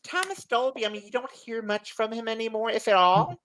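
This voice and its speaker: A woman's voice: on the high side, lively intonation, brisk, a bit bright, a little hiss or hum. A middle-aged woman losing her voice, chatting eagerly as a podcast panelist and often restarting her words. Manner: enthusiastic, repeats and restarts words. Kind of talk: casual chat.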